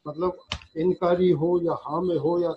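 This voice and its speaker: A man with a Pakistani accent giving a law lecture, halting and deliberate, reading formal text and then explaining it, repeating phrases as he goes. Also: a little high, fairly even intonation, lo-fi sound, a little hiss or hum. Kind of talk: lecture reading legal text aloud in English and explaining it in Urdu.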